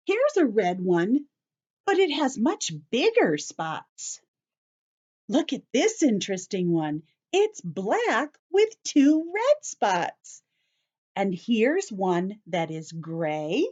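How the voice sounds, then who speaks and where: Slow, expressive woman teaching young children. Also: medium pitch, highs cut off. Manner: simple short phrases, addressing children directly, careful emphasis. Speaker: children's teacher reading a picture book. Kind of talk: story time for young children, read-aloud and explanation.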